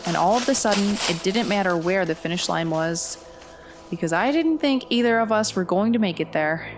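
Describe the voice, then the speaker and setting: A woman's voice: medium pitch, lively intonation, moderate pace, a little hiss or hum, natural voice. A woman playing a young, determined but anxious runner in a horror audio drama, earnest and suspenseful as she acts and narrates. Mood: shaken. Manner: earnest, suspenseful first-person storytelling.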